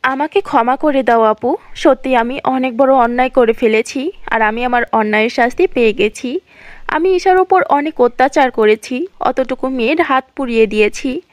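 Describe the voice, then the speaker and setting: A woman's voice: sits high, normal speed, dry recording, close to an everyday voice. A woman voicing a repentant, pleading stepmother in a cartoon fairy tale. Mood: remorseful, pleading. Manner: pleading, apologetic.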